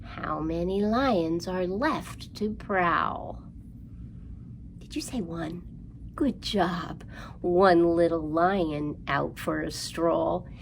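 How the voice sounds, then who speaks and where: A woman presenting a children's storytime, speaking in an animated, sing-song way and prompting young listeners through rhymes and counting. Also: on the low side, drawn-out words, gravelly, slight background noise.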